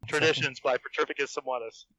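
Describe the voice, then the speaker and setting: Bright-voiced man chatting on a podcast. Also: medium pitch, fairly even intonation, fast talker. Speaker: podcast guest, fan fiction author. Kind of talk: casual group podcast chat. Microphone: voice call. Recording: dry, slightly dull voice-call audio.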